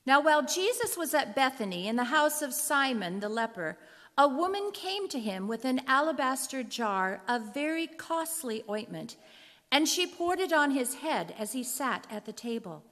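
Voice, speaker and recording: High-voiced woman narrating scripture. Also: sing-song, moderate pace, a bit bright. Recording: reverberant hall.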